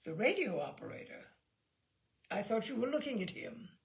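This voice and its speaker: Woman as wry male ship's doctor, creaky. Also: on the high side, big pitch swings, moderate pace. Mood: playful. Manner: teasing, understated.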